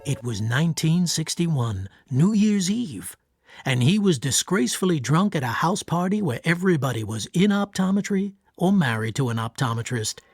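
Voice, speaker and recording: A man narrating a radio drama from a script in a measured, wry, literary way. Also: a little high, sing-song, husky, heavily acted.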